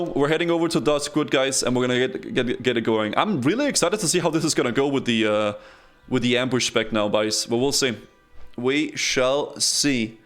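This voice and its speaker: A man from Denmark, chatting excitedly with his viewers while he streams a game. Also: mid-range voice. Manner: excitable, chatty, talks straight to chat. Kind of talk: casual live-stream commentary.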